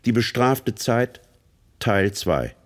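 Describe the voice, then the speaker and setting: An actor narrating a radio play as a grave literary storyteller, measured, solemn and theatrical. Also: male voice, medium pitch, very animated. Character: grave, literary male narrator of a criminal's life.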